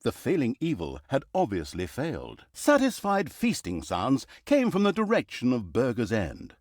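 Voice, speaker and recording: Casting: wry, exasperated fantasy game storyteller, hugely animated intonation, mock-grandiose comic delivery, very dry studio sound. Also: male voice, medium pitch, normal speed, no room sound. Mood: wry narrating. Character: sarcastic, easily exasperated male fantasy storyteller mocking evil. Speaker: video game narrator. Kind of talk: theatrical comic storybook narration.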